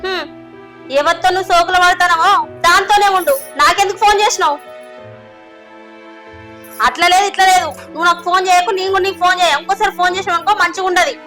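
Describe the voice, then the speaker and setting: A woman's voice: very high-pitched, fast talker, loud music behind the voice. A young woman playing a wife who has fled her abusive husband, defiant and complaining. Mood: angry. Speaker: daughter.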